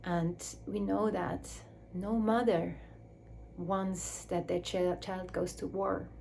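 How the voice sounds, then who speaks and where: A woman speaking English as a second language in a slow, searching reflective talk, with restarts and soft drops to a near whisper. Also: lowish voice, little pitch movement, vocal fry, slight background noise. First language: Swiss German or Dutch. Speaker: speaker on her own channel.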